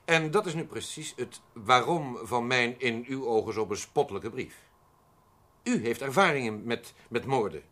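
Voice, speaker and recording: An actor playing a pompous, prickly accountant with sinister plans in a radio drama, precise and theatrically formal, in a husky voice. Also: male voice, medium pitch, expressive, normal speed, sharp tone. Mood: explaining, self-assured. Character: pompous, prickly accountant coolly proposing a perfect murder. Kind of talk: Dutch radio drama acting.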